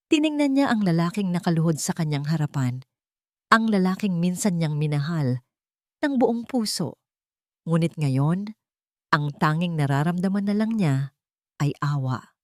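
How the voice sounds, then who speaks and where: A woman's voice: sits low, darkish tone, dry recording, clean studio sound. A woman reading a scripted dramatic story as its narrator.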